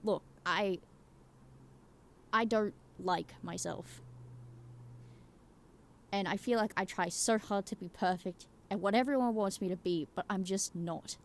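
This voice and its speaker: A woman's voice: mid-range voice, measured pace, pauses often, lightly acted. A woman voicing an insecure video game character who is anxious to please, speaking in a hesitant, earnest, confessional way. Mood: vulnerable, anxious.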